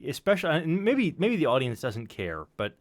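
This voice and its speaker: A man's voice: on the high side, wildly swooping pitch, moderate pace, dry recording. A man hosting a casual live stream, chatting in a joking, self-deprecating way. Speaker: podcast stream host and sound designer.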